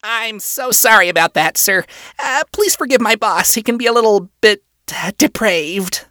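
A performer voicing a polite, nervous male imp with a scratchy, high voice and a halting, apologetic way of talking. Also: expressive, sharp tone, dry recording, clean studio sound.

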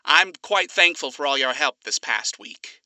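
A reader voicing a smug, courtly male radio demon who speaks in formal, gentlemanly phrasing. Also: medium pitch, expressive, unhurried, no echo. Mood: grateful.

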